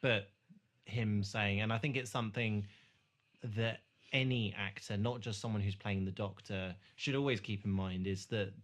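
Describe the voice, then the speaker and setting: A man talks on a podcast in a low-pitched voice with a crisp, forward brightness. He is thoughtful and hesitant, feeling his way through each point in a casual, conversational style. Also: steady tone, moderate pace. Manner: thoughtful, hesitant, searching for words. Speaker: podcast host.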